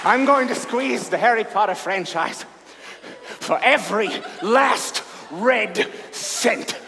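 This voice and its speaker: A greedy, theatrical conman wizard villain in a stage comedy. The voice sits high and leaps around with gleeful boastfulness and a sharp, forceful edge. It rings in a hall. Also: animated, takes it slowly, creaky, a crowd in the background, full character voice. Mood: manic glee. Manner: theatrical, boastful, swinging into shouts. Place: live staged reading on a stage. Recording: reverberant hall, faint noise.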